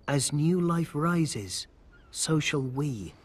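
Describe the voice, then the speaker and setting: An actor playing a devout monk in a video game, solemnly and reverently reciting with a husky voice. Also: male voice, fairly high pitch, lively intonation, extremely slow, full character voice. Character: dying, devout monk father giving a blessing. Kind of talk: scripted video game dialogue.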